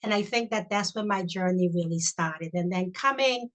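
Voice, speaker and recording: A woman's voice: on the low side, moderate pace, a bit bright. An older woman from Honduras whose first language is Spanish, telling her life story as a webinar guest with many "you know"s. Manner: reflective, repeats "you know". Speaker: guest, chaplain. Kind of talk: personal storytelling. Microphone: video call.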